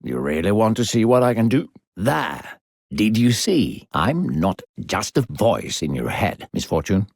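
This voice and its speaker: A man playing a sinister, coaxing disembodied narrator who guides a child in a video game, gravelly, slow, theatrical and condescending. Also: sing-song, a little mellow, no room sound, clean studio sound, heavily acted. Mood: irritated, boastful. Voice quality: deep, gravelly. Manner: smooth-talking, condescending, theatrical, slow and deliberate.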